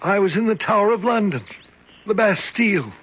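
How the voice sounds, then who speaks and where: A man's voice: high voice, expressive, normal speed, breathy edge. An actor playing an older male convict who claims centuries of escapes, earnest, dreamy and rambling. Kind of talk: 1970s radio drama acting. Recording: muffled old radio recording, faint hiss.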